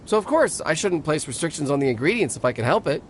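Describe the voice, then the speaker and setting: An actor playing an earnest, eager food stall vendor in a video game, deferential and keen to explain. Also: male voice, a little high, very animated, fast talker, a little hiss or hum. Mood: hesitant, obliging. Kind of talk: video game character acting.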